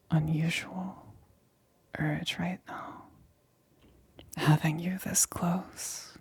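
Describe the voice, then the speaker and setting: A woman voicing an infected female lab assistant in an ASMR roleplay, eerily blissful and coaxing, in a breathy, intimate whisper. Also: sits low, no room sound, full character voice. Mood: dreamy, coaxing.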